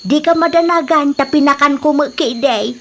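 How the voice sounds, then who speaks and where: A woman playing a worried mother in an audio drama, anxious, pleading and quick to scold. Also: high-pitched, a bit bright, slight background noise. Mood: scolding, concerned. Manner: anxious, nagging. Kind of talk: Ilocano audio drama dialogue.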